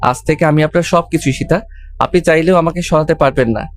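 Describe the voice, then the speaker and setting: Man acting a cheeky romantic young groom, higher-pitched. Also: brisk, a little hiss or hum, natural voice. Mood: intense, romantic.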